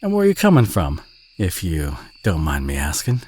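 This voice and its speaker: Man playing a low-voiced, folksy Southern country boy. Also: wildly swooping pitch, normal speed, husky, heavily acted. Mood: chatty, teasing. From southern United States. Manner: folksy, chatty, gently teasing. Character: friendly, folksy, modest young country boy rancher. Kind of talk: intimate audio roleplay addressed to the listener.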